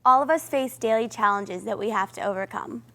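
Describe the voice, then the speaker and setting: A young woman co-hosting a faith show, reading scripted lines clearly. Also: mid-range voice, fairly quick, fairly bright tone. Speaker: co-host. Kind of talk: scripted presenting.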